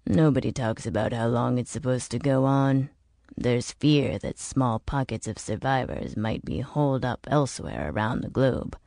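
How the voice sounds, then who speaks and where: A woman voicing a bitter, world-weary older man in an audiobook, explaining grim things in a measured, emphatic way. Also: extremely low pitch, gravelly, a little mellow, dry recording, studio quality, voice pushed down, exaggerated voice. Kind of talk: audiobook character dialogue.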